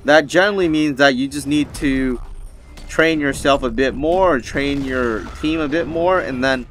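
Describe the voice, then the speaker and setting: A man's voice: mid-range voice, moderate pace, fairly bright tone. Casual male gamer with faint background noise. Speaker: gaming video host. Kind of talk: casual gameplay commentary.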